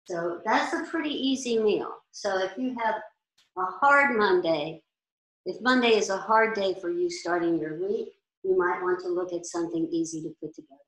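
A woman's voice: lowish voice, moderate pace, fairly bright tone. An older woman chef in her sixties, teaching meal planning in a chatty, practical way in a large kitchen. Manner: chatty, practical, standing and gesturing at boards. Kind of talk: informal cooking class talk. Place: large indoor commercial kitchen. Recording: echoey kitchen room sound.

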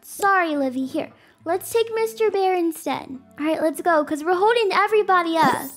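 A woman plays a caring, organised cartoon mother. She gives brisk instructions in a very high voice, with music behind. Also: expressive.

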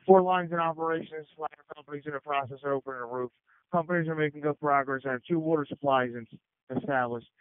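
A man's voice: a little high, quick pace, smooth, dry recording. A man commanding a house fire, giving clipped status reports over radio. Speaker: fireground incident commander. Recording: phone-like scanner radio audio.

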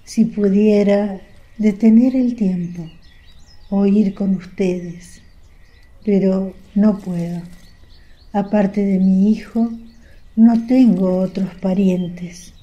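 A woman's voice: mid-range voice, steady tone, takes it slowly, warm tone, highs cut off, natural voice. A woman playing a wistful, humble, devoted mother in a religious radio drama, subdued in manner. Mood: wistful, resigned.

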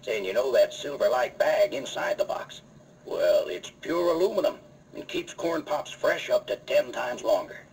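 Folksy male 1950s radio pitchman, emphatic, animated swings in pitch, old recording with faint noise. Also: moderate pace, vocal fry, rough recording. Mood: upbeat selling. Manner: folksy, emphatic salesman delivery. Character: folksy cowboy-show pitchman selling cereal to kids. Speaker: announcer in a cereal commercial.

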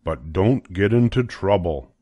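A kindly, patient storybook schoolteacher, voiced by a man reading aloud. He explains things in a measured, low voice, recorded dry. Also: animated, warm tone, breathy edge, dry recording, muffled recording, exaggerated voice. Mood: instructive.

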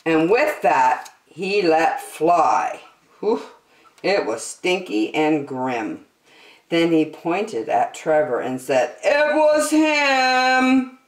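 Very animated older woman reading to children. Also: low-pitched, distant mic. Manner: expressive, drawn-out emphasis for children. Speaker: children's story time host. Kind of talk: read-aloud picture book for young children. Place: small decorated indoor room. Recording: roomy echo.

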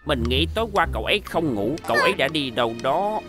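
A man voicing a serious, thoughtful bird commando in a cartoon dub, calmly pondering. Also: fairly high pitch, fast talker, music in the background.

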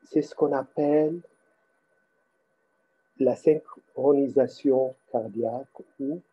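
Very slow, husky male meditation guide. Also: mid-range voice, steady tone, warm tone, lo-fi sound. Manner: halting, pausing between short phrases.

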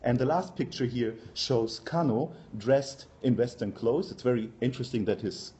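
A man in his forties with a German accent, giving an academic conference talk in a hesitant, halting manner with frequent pauses. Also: mid-range voice, mellow. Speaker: university professor, conference speaker. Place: lecture room, indoors.

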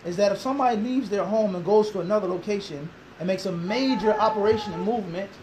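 Guy in a classroom preaching hard, quick talker, throws questions back, faint room hiss. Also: high-pitched. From Philadelphia. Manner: emphatic, rhetorical questions, gestures a lot. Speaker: interviewee, Islamic teacher. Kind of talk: animated interview answer. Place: small classroom indoors.

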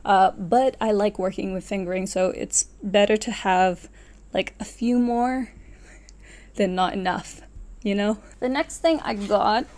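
A woman's voice: mid-range voice, measured pace, darkish tone. A woman in her twenties chatting casually to camera as a vlogger, rambling and correcting herself as she goes. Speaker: knitting vlogger. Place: small furnished room at home, indoors.

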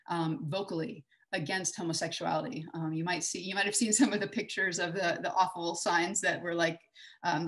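A woman in her forties from Texas giving a conversational conference talk over a video call, with many fillers and restarts. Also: on the low side. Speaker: conference speaker. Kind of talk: online conference talk with slides. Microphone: webcam on a video call.

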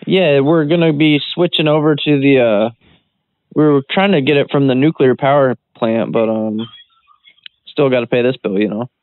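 A man's voice: mid-range voice, moderate pace, no room sound. A man making prank calls in a casual, rambling, feigned earnest manner. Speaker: prank caller. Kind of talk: casual phone prank chat. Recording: band-limited phone line.

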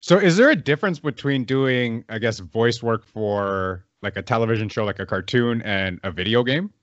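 A man's voice: medium pitch, lively intonation, normal speed, no room sound. A man hosting a podcast, asking short interview questions over a video call from a room at home. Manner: brief, asks questions, short agreements. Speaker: podcast host. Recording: dry, muffled video call audio.

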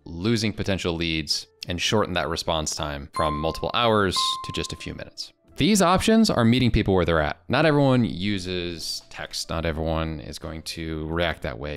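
A man in his twenties with an American accent, presenting a casual software demo into a close desk microphone in a small home studio. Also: sits low, moderate pace, hardly any pauses, faint music behind. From United States. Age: 20s. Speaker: presenter of software review videos. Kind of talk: casual product demo narration.

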